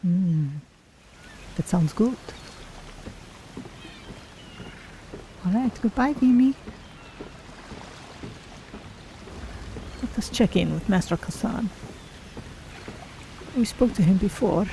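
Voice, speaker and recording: Woman voicing a mellow, polite cat-folk traveler. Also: medium pitch, animated, unhurried, creaky, long pauses. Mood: friendly, calm. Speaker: streamer role-playing a game character. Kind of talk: in-character commentary on a video game. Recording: faint game ambience noise.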